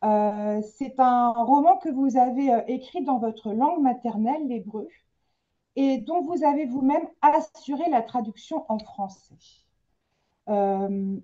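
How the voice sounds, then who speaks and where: A woman's voice: a little high, mellow, highs cut off. A woman interviewing an author, introducing the guest with frequent hesitations. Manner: hesitant, many fillers. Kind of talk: literary interview, reading an introduction.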